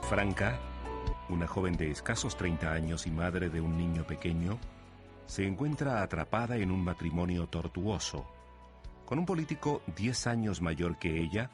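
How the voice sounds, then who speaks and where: A man's voice: sits low, animated, normal speed. A man narrating a scripted romantic radio drama as a solemn storyteller. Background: music underneath.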